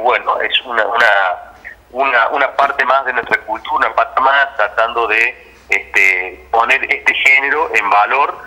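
A man's voice: lowish voice, sharp tone. An Argentine man giving spontaneous, explanatory answers as an interview guest over a telephone line. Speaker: interview guest, theatre director.

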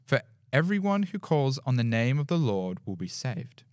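A young man with a deep baritone voice reading scripture aloud in a small podcast studio, with small slips as he reads. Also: sing-song, moderate pace, no room sound. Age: young adult. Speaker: podcast guest. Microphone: studio mic on boom arm with headphones.

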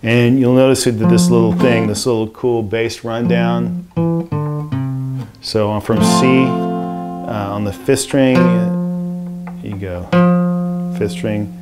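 A man in his forties giving a casual guitar lesson in a home studio, relaxed and rambling with lots of fillers. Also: medium pitch, slow, pauses often. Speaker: guitar teacher. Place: home music studio, indoors. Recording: guitar strummed under the voice. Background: acoustic guitar playing.

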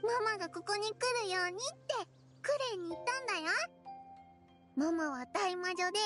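A woman's voice: very high-pitched, normal speed. A woman voicing a cheerful, innocent little girl in an anime game, with simple childlike phrasing. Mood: excited, curious. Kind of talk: Japanese anime game voice acting. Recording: studio voice over playful music. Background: bright jingly children's game music.

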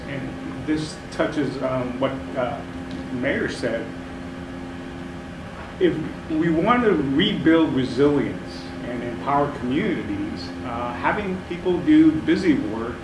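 A man's voice: medium pitch, animated, slow. Man asking a question from the floor. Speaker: audience questioner. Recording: echoey room, distant mic, noise.

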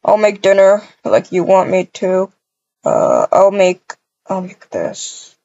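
A woman with a husky voice improvising a family roleplay in a halting, repetitive way, full of cooing endearments. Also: medium pitch, big pitch swings, mellow, dry recording. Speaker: roleplay video creator voicing all characters. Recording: dull, lo-fi microphone sound.

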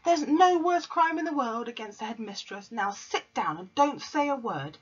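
A woman reading a storybook aloud, putting on a furious, pompous bullying headmistress who rants and shouts. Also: a little high, animated, normal speed, slightly rough, highs cut off, pitched above the performer's own voice. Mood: raging.

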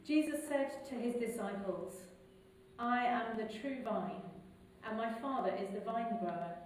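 A middle-aged English woman priest reading the gospel and preaching in a measured, clear liturgical manner, recorded in a large stone church from a distance. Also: a little high, a little hiss or hum. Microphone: far camera. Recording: echoey church from far off.

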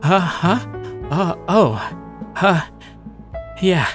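A man's voice: high voice, animated, extremely slow, fairly bright tone, exaggerated voice. A man playing a shy young gamer loner over music. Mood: nervous, flustered. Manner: self-deprecating, stammering when talking to others. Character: shy, awkward young male gamer loner narrating his life. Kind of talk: first-person story narration with acted dialogue.

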